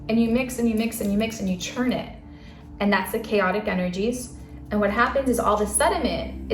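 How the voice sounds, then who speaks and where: A soft-spoken woman giving a casual spiritual talk to camera in a calm, earnest way. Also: mid-range voice. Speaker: spiritual channel host. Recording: roomy echo, faint music.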